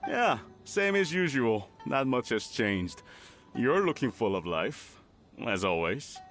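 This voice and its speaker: An actor voicing a calm, easygoing village man in a game. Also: medium pitch, slow. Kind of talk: game voice acting. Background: soft music.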